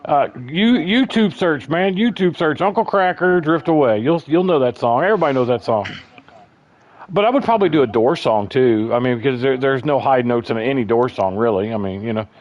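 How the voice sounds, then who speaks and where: A man with a bassy voice hosting a gaming livestream, chatting casually and rambling through stories. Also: a little fast, lo-fi sound. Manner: rambling, chatty storyteller, calls people bro. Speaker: livestream host. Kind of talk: casual chat.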